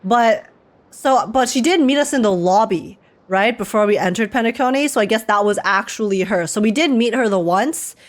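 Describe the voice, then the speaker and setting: A woman's voice: fairly high pitch, moderate pace. A woman streamer giving informal, slangy and exclamatory live reactions to a game. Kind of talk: casual live reaction commentary. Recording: game music behind the voice.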